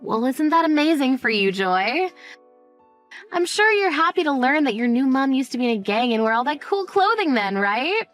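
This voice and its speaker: A woman's voice: high voice, sing-song, normal speed, fairly bright tone, faint music behind, voice pushed up. A woman playing a cold, sarcastic mother who tells her story in a smug, dismissive way. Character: cold, sarcastic middle-aged mother, smugly unsympathetic. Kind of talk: dramatized first-person story narration.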